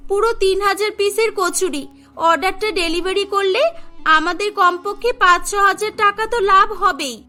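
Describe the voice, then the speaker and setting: A woman's voice: very high voice, normal speed, pushed and bright, soft background music. A woman voicing an earnest, dutiful young girl in a cartoon, speaking respectfully to her elders. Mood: happy, excited. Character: hardworking young girl, dutiful poor daughter running a snack shop.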